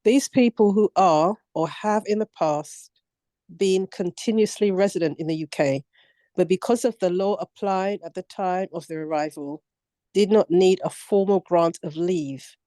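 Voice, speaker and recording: An older woman reading a policy document aloud on a video call, careful and measured, with some hesitations. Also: on the low side, warm tone, dry recording. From Commonwealth country. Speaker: book club reader.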